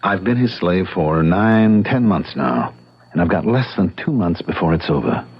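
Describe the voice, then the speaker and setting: Husky, earnest young American man. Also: low-pitched, lively intonation, normal speed, full character voice. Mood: earnest explaining. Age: young adult. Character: earnest, stubborn young bachelor trapped by a bet. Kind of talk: old-time radio drama acting. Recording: muffled radio drama recording.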